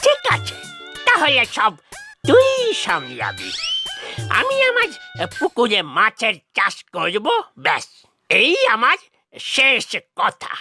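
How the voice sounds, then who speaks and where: A woman plays a stubborn old village father in an animated cartoon. The voice is high and piercing, leaping wildly as it scolds and bosses. The pace is unhurried, with exclamatory bursts. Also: husky, exaggerated voice. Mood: bossy, indignant. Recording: music behind the voice.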